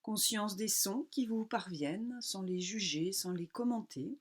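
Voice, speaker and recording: A woman teaching yoga nidra, guiding a relaxation meditation calmly in short, unhurried phrases with pauses. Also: mid-range voice.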